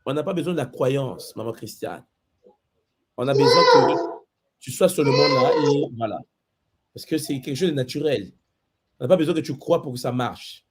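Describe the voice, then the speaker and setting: A man hosting a spiritual talk show, calmly reassuring a caller and calling her maman. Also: medium pitch, moderate pace, pauses often, noisy background.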